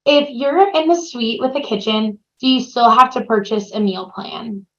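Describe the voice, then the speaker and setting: A woman's voice: a little high, animated, moderate pace, muffled recording. A woman moderating a webinar Q&A, upbeat as she reads out questions and hands them to the panel. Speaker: session moderator.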